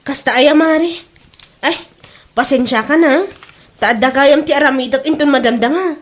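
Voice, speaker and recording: Woman acting a high-voiced Ilocano village mother. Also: moderate pace, natural voice. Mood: apologetic. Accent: Filipino, Ilocano. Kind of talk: amateur comedy drama acting.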